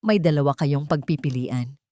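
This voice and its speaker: A resolute wronged woman from a drama story, voiced by a female narrator, lays down terms with cool control. Her voice is low, firm and deliberate, recorded very dry. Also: dark tone, no room sound, lightly acted. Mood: firm, controlled.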